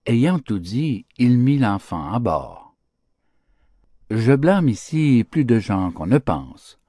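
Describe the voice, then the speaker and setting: Slow, expressive male fable narrator. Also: medium pitch, no echo, clean studio sound. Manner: declamatory, expressive. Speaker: audiobook narrator. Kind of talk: theatrical storybook fable narration.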